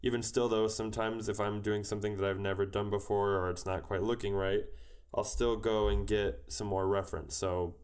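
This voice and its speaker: A man's voice: lowish voice, brisk, hardly any pauses, smooth. A man with a head cold, thinking aloud in a casual way as he teaches a digital painting tutorial. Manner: relaxed, musing aloud while working. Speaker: digital art instructor.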